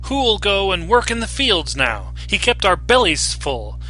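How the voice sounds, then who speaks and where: A man plays a scorned, middle-aged peasant woman in a fantasy audiobook. The voice is pitched well up, bright and forceful, bitter and shrill in its outbursts. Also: very animated, a little slow, soft background music, heavily acted, rough recording. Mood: furious, anguished. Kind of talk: dramatic fantasy audiobook reading.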